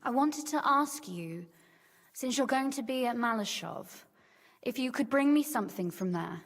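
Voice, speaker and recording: A woman's voice: a little high, animated, moderate pace, long reverb tail. An actress playing a shrewd, teasing young medieval noblewoman in a video game, speaking in articulate, formal phrases. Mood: coy, secretive. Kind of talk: scripted game dialogue.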